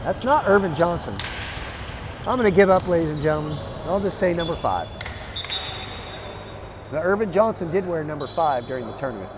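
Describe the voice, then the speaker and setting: A hoarse man calling a live basketball game play by play, energetic and chatty, climbing into excited calls. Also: a little high, a little fast, long pauses, background noise. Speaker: play-by-play commentator. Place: arena broadcast position.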